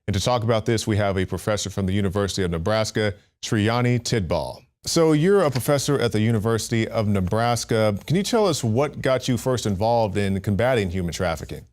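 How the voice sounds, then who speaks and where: Man, news host, measured delivery, low voice. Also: expressive, darkish tone. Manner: measured, reads scripted intro then asks questions. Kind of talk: news interview.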